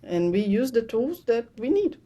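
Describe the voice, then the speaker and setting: A woman's voice: a little high, sing-song, normal speed, dark tone. A woman speaking English as a second language, chatting frankly and encouragingly as a guest in an interview. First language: not English. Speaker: podcast guest, financial educator. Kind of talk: casual interview chat.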